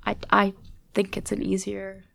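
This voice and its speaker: Young American woman, chatty and gravelly. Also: mid-range voice, monotone, measured pace, a bit bright. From USA. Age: young adult. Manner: chatty, many "like" fillers. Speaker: guest. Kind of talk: casual conversation.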